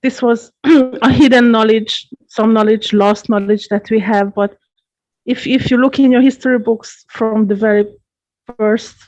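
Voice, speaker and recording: A woman from Hungary, a guest astrologer explaining her craft in an earnest, measured way. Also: mid-range voice. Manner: earnest, measured, gently repeats words. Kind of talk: interview answers, explaining at length. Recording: dull lo-fi podcast audio.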